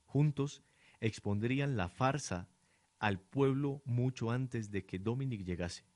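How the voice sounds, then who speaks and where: A man's voice: mid-range voice, takes it slowly, a little mellow, dry recording. A man reading scripted narration for a radio drama in a dramatic storytelling manner.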